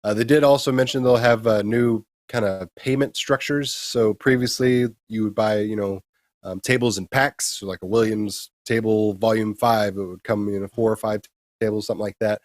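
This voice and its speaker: Low-voiced man presenting gaming news. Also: steady tone, normal speed, no echo, studio quality. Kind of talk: casual gaming news talk.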